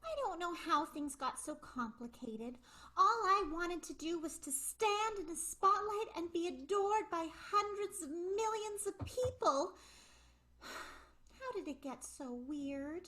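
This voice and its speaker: A woman's voice: very high-pitched, animated, slow, pushed and bright, full character voice, rough recording. A woman acting a wistful, adored burlesque queen in an improvised radio soap, plaintive and melodramatic.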